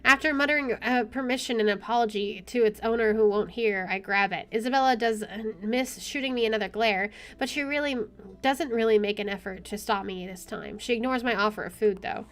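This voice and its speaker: A woman's voice: fairly high pitch, brisk. A woman reading visual novel narration aloud as a game streamer, informally, with offhand asides. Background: game music.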